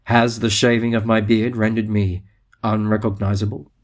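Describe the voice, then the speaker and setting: An actor playing a calm, logical male alien science officer in a sci-fi audio drama, speaking formally and without emotion. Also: on the low side, natural voice. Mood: cold calm. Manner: measured, formal, unemotional.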